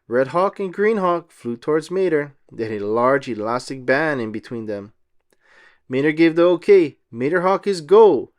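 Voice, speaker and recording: A man reading a children's storybook aloud as narrator, in an expressive style. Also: on the high side.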